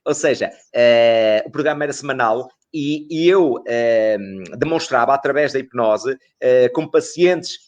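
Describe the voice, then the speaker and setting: A man's voice: medium pitch, a little slow. A middle-aged man, explaining as an expert guest in an interview, in a didactic way with rhetorical questions and repeated phrases. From northern Portugal.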